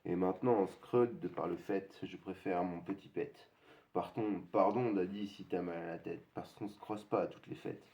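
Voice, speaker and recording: Low flat-voiced man rapping. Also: moderate pace. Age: 30s. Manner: rhythmic spoken rap with a recurring hook. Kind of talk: rap freestyle over a beat.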